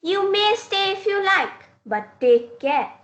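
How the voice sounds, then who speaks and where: A woman acts out a strict mother in a classroom play. Her voice is high and her delivery slow and deliberate, with firm, instructive phrasing. The sound is roomy and echoing. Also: big pitch swings, a bit bright, exaggerated voice. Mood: advising. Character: strict, advising mother of a talkative young girl. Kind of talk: classroom reader's theater dialogue.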